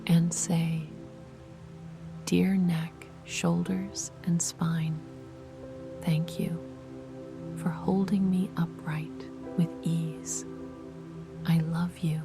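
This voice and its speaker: A woman whispering soft, soothing guided meditation instructions. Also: fairly low pitch, little pitch movement, drawn-out words, vocal fry, pauses often. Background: music.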